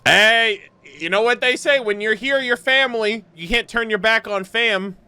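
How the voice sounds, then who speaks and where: A video game streamer giving casual, slangy, expressive reaction commentary with some swearing over game music. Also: medium pitch, moderate pace, smooth, no room sound.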